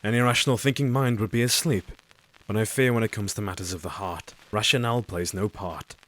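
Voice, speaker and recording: An actor playing a lovelorn young doctor who narrates his diary and questions a patient, earnest, formal and measured. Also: lowish voice, close to an everyday voice, low-quality recording. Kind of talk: audio drama narration.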